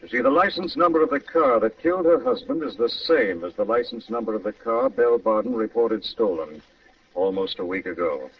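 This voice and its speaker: Swooping, dramatic radio detective. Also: male voice, mid-range voice, very animated, fairly quick, gravelly. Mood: grave, polite. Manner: precise, polite, dramatic. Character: earnest, composed gentleman private investigator. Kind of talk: old-time radio drama acting. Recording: muffled distant old radio recording, faint noise.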